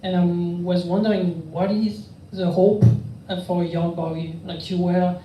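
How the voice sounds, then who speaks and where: Flat-voiced man, echoey and distant. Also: high-pitched, a little slow, muffled recording, slight background noise. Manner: hesitant, many fillers. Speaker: interviewer. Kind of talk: live book talk questions. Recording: roomy room audio from a distant mic.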